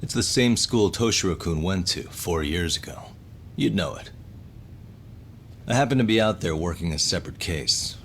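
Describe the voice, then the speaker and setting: A man's voice: low-pitched, lively intonation, normal speed, fairly bright tone, a little hiss or hum. A man playing a cool, dry-witted private detective in a video game, speaking with composed, questioning delivery. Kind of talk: English video game dub acting.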